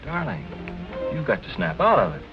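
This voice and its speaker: A man's voice: mid-range voice, wildly swooping pitch, normal speed, dull audio, music in the background. An actor playing an earnest, solicitous man who is under suspicion and comforting his sweetheart, in 1940s film noir style. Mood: reassuring.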